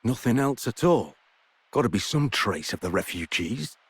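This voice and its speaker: A man's voice: mid-range voice, very animated, moderate pace, husky, no room sound, heavily acted. A man voicing a grim, weary scout in a video game cutscene. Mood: grim, worried.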